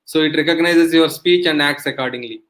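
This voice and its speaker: A man's voice: a little high, brisk, fairly bright tone. A middle-aged man giving an online technical lecture, explaining step by step with frequent fillers and checks of "okay". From India.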